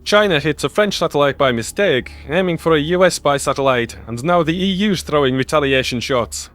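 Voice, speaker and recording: A man's voice: on the high side, a little fast, fairly bright tone, voice pushed up, close to an everyday voice. A man voicing an opinionated young human crew member who argues colloquially in a science fiction audio story. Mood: defensive, explaining. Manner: argumentative, colloquial.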